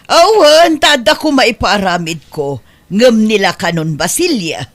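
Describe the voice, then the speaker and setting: A woman plays a generous, commanding mistress of a household in a comedy drama. Her medium-pitched voice swings widely as she gives instructions. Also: sing-song, unhurried. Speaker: voice actress. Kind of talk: Ilocano comedy drama acting.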